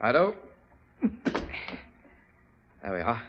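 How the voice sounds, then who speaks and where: A man's voice: on the low side, big pitch swings, takes it slowly, dull audio, noisy background. An actor playing a loyal, earnest male army doctor sidekick in 1940s radio drama style, speaking eagerly. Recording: old radio broadcast with music under it.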